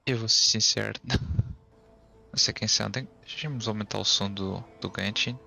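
A man's voice: lowish voice, steady tone, fairly quick, vocal fry, bright, forceful tone. A man casually reacting while playing a game. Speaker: streamer. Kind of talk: let's play commentary. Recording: game music behind.

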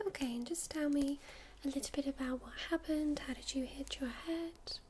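A woman whispering softly in a gentle, reassuring ASMR medical roleplay, calmly talking a listener through a check-up step by step. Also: sits high, moderate pace, a little hiss or hum. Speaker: ASMR creator playing a first-aid medic.

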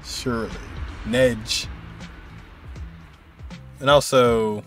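A man's voice: mid-range voice, fairly even intonation, very slow. A young man giving casual, amused live reaction commentary as a streamer, in informal loose remarks. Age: young adult. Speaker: streamer reacting to game cutscenes. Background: game music.

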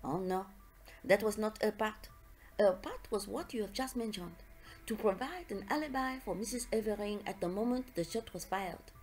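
A woman's voice: mid-range voice, normal speed, darkish tone, soft background music, natural voice. A woman voicing a confident, middle-aged male detective, precise and affectionate, in an audiobook story. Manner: precise, self-satisfied, foreign turns of phrase.

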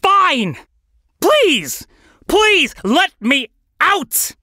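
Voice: high voice, wildly swooping pitch, slow pace, fairly bright tone, no echo, studio quality, pitched above the performer's own voice, full character voice. A narrator voicing an irritable, desperate male bird in an audio story, snappish and exclaiming. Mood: outraged. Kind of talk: audio fiction character voice.

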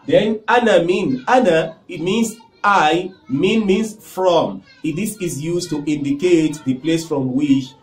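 Nigerian guy teaching a language lesson, kinda high voice, repeats stuff a lot, roomy echo. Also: slow pace, hardly any pauses, soft background music. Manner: lively, repeats phrases, gestures and smiles. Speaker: Arabic teacher. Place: small indoor studio with whiteboard.

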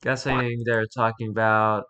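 A man's voice: on the low side, flat delivery, unhurried, pushed and bright. A man reading aloud casually with wry offhand asides, sometimes stumbling over the words as he goes. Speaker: streamer reading and reacting to wiki pages.

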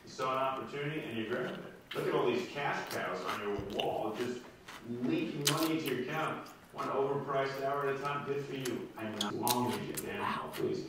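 A man's voice: medium pitch, a little fast, natural voice. An actor playing a sly man who sees through someone's hustle, speaking in a smug, knowing way. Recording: very echoey clip played from far off with noise.

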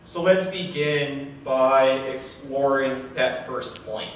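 A man's voice: medium pitch, far from the mic. Echoey man giving a formal lecture. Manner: formal, deliberate phrasing. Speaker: history professor. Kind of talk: scripted academic lecture with brief asides. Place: large indoor auditorium, at a podium on stage. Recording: echoey hall from afar, faint noise.

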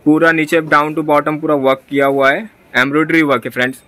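A man's voice: on the high side, level tone, brisk, sharp tone. A man with an Indian accent hosting a shopping vlog, talking to viewers and repeating back what he is told. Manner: repeats the seller's answers back. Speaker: vlog host. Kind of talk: market shopping vlog, interviewing a seller and addressing viewers. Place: small clothing shop, indoors.

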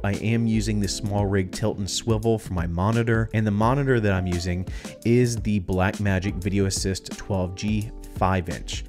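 A man's voice: lowish voice, a little mellow. A young man with a stuffed-up, nasal voice, casually walking through his camera gear as a filmmaking channel host. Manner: casual, chatty gear talk. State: congested, stuffed up. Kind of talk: gear review vlog. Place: dim home studio. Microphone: studio mic on boom arm.

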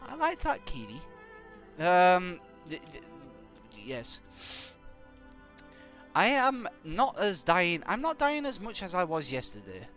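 A young man with a British accent and a croaky voice from hay fever, chatting to viewers in a rambling, jokey way while he plays a game live. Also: fairly high pitch, fairly bright tone. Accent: British English. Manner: rambling and jokey, hums nonsense syllables. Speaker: game streamer.

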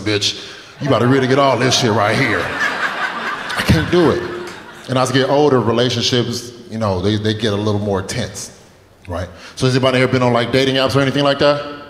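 A stand-up comic in his twenties talks loosely and profanely, chatting with the crowd between stories. His mid-pitched voice rings out across a club with a long, echoing reverb. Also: background noise. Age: 20s. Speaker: stand-up comedian. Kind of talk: stand-up comedy set. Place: comedy club stage. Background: audience laughter.

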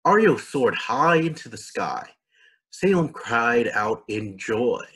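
A man's voice: medium pitch, big pitch swings, takes it slowly, a bit bright, husky. A man reading a children's story aloud as a scripted narration. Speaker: storybook reader.

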